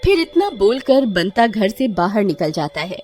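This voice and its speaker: A woman's voice: medium pitch, very animated, normal speed, darkish tone, soft background music. A woman narrating a scripted horror cartoon story in a dramatic storytelling style.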